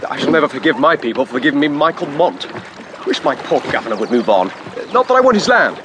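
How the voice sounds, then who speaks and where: An actor playing an eager, awkward upper-class English gentleman in his twenties, nervous and babbling, in a radio drama. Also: a little high, sing-song, fast talker, noisy background. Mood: nervous, gushing. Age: 20s.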